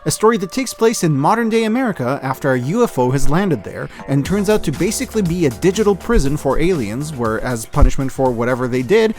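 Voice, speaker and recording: Animated, high-pitched man reviewing media. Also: fairly quick, few pauses, faint music behind. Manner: enthusiastic, expressive, with wry asides. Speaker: online media reviewer and host. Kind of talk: scripted video essay narration, year-in-review commentary.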